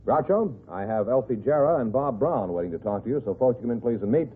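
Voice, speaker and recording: A man's voice: medium pitch, expressive, fast, music in the background. An American man working as the announcer of a mid-century radio quiz show. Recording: tinny old radio broadcast.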